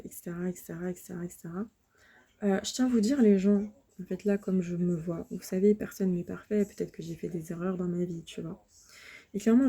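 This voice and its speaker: A woman in her thirties from France, recording a halting, upset personal appeal to viewers. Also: on the low side, dark tone, husky. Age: 30s. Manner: halting, many hesitations and restarts; upset, defensive. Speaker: vlogger. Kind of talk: personal video appeal to the public.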